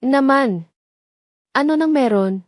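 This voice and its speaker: A woman's voice: high voice, animated, slow pace, no echo, studio quality, pitched above the performer's own voice. A woman playing a loyal male investigator who speaks with familiar respect and caution in an audio drama. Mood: surprised, familiar.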